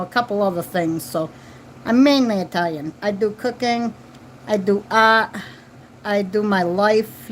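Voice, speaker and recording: A woman's voice: medium pitch, a little hiss or hum, rough recording. A woman in her forties chatting casually to viewers as host of a livestream, repeating phrases and tag questions. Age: 40s. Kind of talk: casual live chat with viewers. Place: at home, children nearby.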